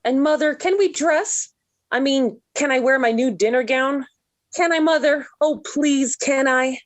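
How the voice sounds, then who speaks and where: An actress playing a dramatic, lovestruck seventeen-year-old daughter, gushing and pleading in 1940s radio sitcom style. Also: female voice, sits high, a little slow. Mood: eager, pleading.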